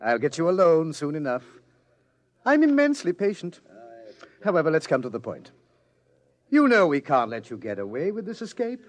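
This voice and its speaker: Give this role to an urbane, menacing pursuer in a classic radio drama. His voice sits a little high and swings widely in pitch. He savors his words with mocking, self-indulgent ease. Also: sing-song, normal speed, long pauses. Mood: smug menace. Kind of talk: vintage radio drama acting. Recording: old radio drama recording.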